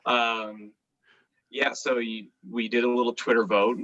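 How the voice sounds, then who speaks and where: Bright-voiced man joking on a podcast. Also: medium pitch, little pitch movement, a little slow, dull audio. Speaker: podcast co-host. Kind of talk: casual joking banter.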